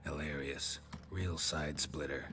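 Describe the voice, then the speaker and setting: An actor playing a terse, stoic monster hunter in a video game with a dry, deadpan delivery. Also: male voice, extremely low pitch, takes it slowly, music in the background. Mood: sardonic. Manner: deadpan, laconic. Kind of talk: understated game acting.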